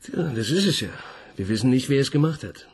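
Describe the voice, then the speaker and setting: A man's voice: medium pitch, animated, fairly quick, darkish tone, slightly rough. An actor playing a junior police detective in a radio crime drama, eager and deferential, chatty with his boss.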